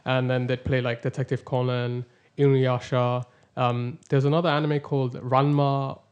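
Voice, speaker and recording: A man from Austria chatting casually, relaxed and halting, with many fillers. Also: medium pitch, clear, resonant tone, muffled recording. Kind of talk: casual chat.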